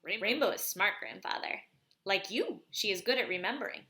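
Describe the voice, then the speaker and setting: A woman's voice: mid-range voice, lively intonation, fairly quick, bright, forceful tone, reverberant. A woman voicing an eager, earnest young boy in a storybook read aloud. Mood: excited.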